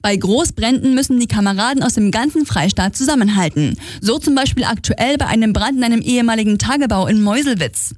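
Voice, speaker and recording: A female news anchor reads scripted regional bulletins in a fairly high voice. The sound is close and dry, straight from a studio microphone. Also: fairly quick, hardly any pauses, no echo. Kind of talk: scripted radio news reading.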